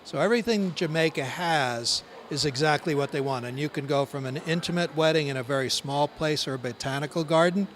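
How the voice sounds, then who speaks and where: Man, brisk promotional pitch, noisy outdoor recording. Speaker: wedding travel magazine representative. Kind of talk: promotional interview.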